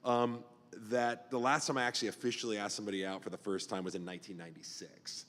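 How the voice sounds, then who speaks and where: A man's voice: lowish voice, flat delivery, quick pace, fairly bright tone, in a large hall. A man in his fifties, a pastor hosting a casual podcast chat, talking loosely with lots of fillers. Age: 50s.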